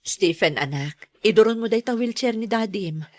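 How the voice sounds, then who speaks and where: A woman's voice: medium pitch, animated, moderate pace, darkish tone, lightly acted. A woman playing a caring, protective middle-aged mother and wife in an audio drama. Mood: concerned, soothing.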